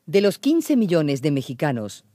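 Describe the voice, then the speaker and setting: A man's voice: fairly high pitch, wildly swooping pitch, normal speed, mellow, dry recording, clean studio sound. A man reading factual scripted narration in a radio documentary drama.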